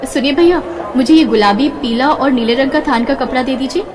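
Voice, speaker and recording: A woman voicing a poor, loving mother who works as a tailor in a cartoon story, speaking gently. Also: high voice, a little fast, distant mic, background noise, lightly acted. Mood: tender. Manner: tender, gentle. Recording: reverberant with soft background music.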